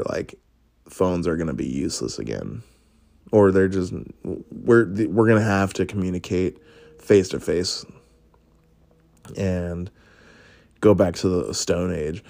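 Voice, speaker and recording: A man's voice: low-pitched, creaky, a little mellow, no room sound. A man hosting a podcast, chatting casually through would-you-rather questions, rambling and swearing with frequent laughs. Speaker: podcast host.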